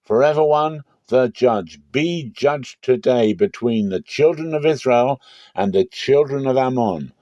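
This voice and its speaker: Guy acting out a proud defiant israelite warlord, big emphatic scripture drama, mellow dry voice. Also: medium pitch, lively intonation, unhurried, no room sound, dull audio, lightly acted. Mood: indignant, forceful. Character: defiant Israelite war leader, proud man.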